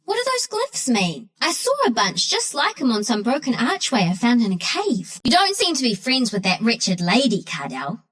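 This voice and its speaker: Scrappy lil game critter trapper, kiwi accent, high voice that jumps all over, cocky n mischievous. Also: female voice, wildly swooping pitch, moderate pace, few pauses, a bit bright, full character voice. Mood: chirpy. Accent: New Zealand. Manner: cheeky, cocky, slangy. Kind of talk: video game character voice lines.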